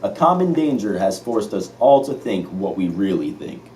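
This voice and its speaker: A man's voice: on the low side, big pitch swings, moderate pace, darkish tone. A man playing an earnest 1950s news anchor in an amateur scripted mock newscast. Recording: distant mic, faint noise.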